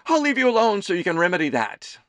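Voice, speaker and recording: A man voicing a doting, gossipy, middle-aged mother who runs a diner, loud and theatrical. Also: huge pitch swings, fast, no room sound, clean studio sound, exaggerated voice. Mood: teasing. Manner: loud, theatrical, high chirpy voice.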